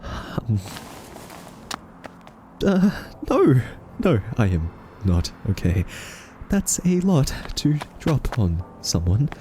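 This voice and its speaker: Soft, slow-spoken anxious scientist played by a man. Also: mid-range voice, sing-song, a little hiss or hum. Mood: overwhelmed, panicky. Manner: hesitant, halting, earnest reasoning. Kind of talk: audio roleplay monologue to the listener.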